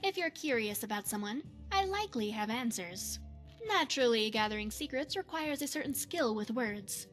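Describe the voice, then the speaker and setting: A woman voicing a poised, secretive retired spy and mage in a fantasy game, speaking in a measured, formal, coy way. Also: on the high side, animated, faint music behind, natural voice. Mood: sly, composed. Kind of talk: scripted fantasy game character dialogue.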